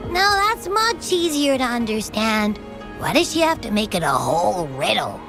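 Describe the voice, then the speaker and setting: A small nonhuman game companion with a high, animated voice. She sounds childlike and blunt, exclaiming over clear background music. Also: moderate pace. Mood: puzzled, grumbling. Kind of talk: video game voice acting.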